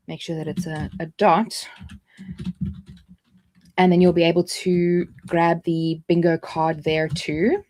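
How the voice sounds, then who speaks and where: A woman's voice: on the low side, little pitch movement, slow pace, long pauses, darkish tone. A woman with a South African accent hosting a casual livestream, chatty and jumping between points with frequent restarts. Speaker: livestream host.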